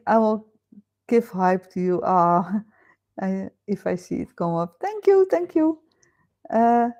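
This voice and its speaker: Slow-talking Dutch woman chatting live. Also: mid-range voice, dark tone. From Netherlands. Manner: chatty, laughs often, thinks aloud with fillers. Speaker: livestream host. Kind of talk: casual live chat reading viewer comments. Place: home.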